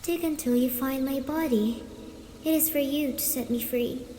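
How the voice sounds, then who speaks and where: A woman's voice: sits high, normal speed, dark tone, roomy sound, big-room sound, slight background noise, voice pushed up, close to an everyday voice. A woman voicing the menacing, commanding ghost of a grown woman in an ASMR horror story. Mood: commanding, threatening. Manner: commanding, eerie.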